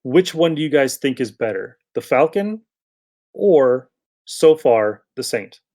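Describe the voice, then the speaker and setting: A man's voice: mid-range voice, sing-song, slow pace, darkish tone. A man hosting a podcast in casual chat, rambling a little as he thinks aloud. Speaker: podcast host.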